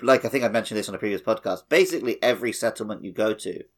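A man casually chatting as a podcast host, animated and dryly opinionated. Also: on the low side, racing. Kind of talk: casual chat on a gaming podcast.

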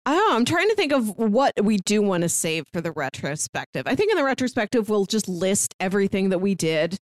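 A woman speaks as a podcast co-host in casual, conversational recap. Her pitch sits in the middle range, and the recording is dry, with almost no room around her voice. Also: very animated, brisk, no room sound. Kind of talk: casual chat, recapping a year of reviews.

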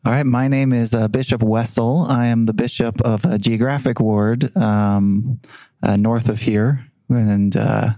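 Earnest middle-aged bishop, mellow voice. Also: male voice, medium pitch, steady tone, a little slow, dry recording. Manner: earnest, hesitant fillers. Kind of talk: casual discussion.

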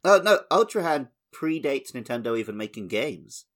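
A man's voice: mid-range voice, sing-song, moderate pace, fairly bright tone. A man co-hosting a podcast, talking casually about video games in conversation. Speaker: podcast host. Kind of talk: casual gaming podcast discussion.

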